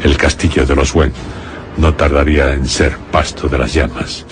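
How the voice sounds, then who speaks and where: Very deep-voiced male radio drama narrator. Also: little pitch movement, moderate pace. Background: prominent dramatic music.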